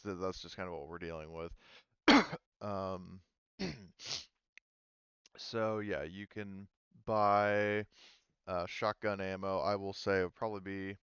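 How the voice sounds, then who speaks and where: Muffled, smooth low-voiced man running a game. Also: steady tone, fairly bright tone, no echo. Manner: rambling, halting explanations. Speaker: game master. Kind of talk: casual tabletop game talk.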